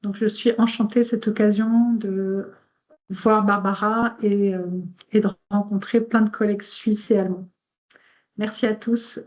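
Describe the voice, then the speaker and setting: A woman whose first language is German, speaking French in an online meeting. She gives an informal presentation, partly reads a text aloud, and pauses often with fillers and restarts. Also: medium pitch, flat delivery, darkish tone, highs cut off. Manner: hesitant, many fillers and restarts.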